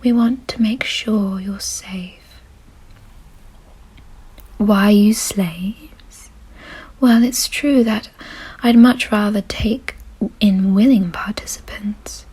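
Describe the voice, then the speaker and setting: A woman voicing a gentle, refined, doting vampire mistress in a soothing, softly possessive ASMR roleplay whisper. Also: medium pitch, steady tone, drawn-out words, long pauses. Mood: reassuring. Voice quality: breathy, husky whisper.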